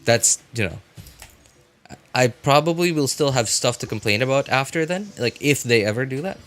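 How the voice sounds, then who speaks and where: A man giving casual live game commentary as a streamer, rambling and halting, often breaking off mid-thought to react. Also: mid-range voice, lively intonation, a bit bright, soft background music.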